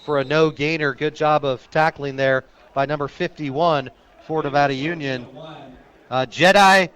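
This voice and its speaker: A man working as a radio sportscaster, calling high school football live in clipped, descriptive phrases that turn excited on big plays. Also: a little slow, highs cut off. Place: outdoor stadium, live radio broadcast.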